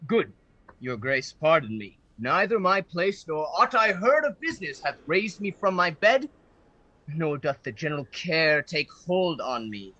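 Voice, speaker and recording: A bright, forceful but husky voice from an actor portrays an outraged old father in a Shakespearean radio play. The delivery is slow and halting, swinging widely in pitch with grief and indignation. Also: no room sound, rough recording. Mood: anguished. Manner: emphatic, halting, aggrieved declamation. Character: outraged, grieving elderly father, a Venetian senator. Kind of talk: Shakespearean verse acting for radio.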